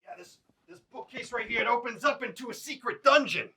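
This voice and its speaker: A man's voice: extremely high pitch, brisk, pushed and bright, husky, full character voice. A man playing a cocky, sleazy quack therapist in a comedy sketch, brash, crude and full of bragging.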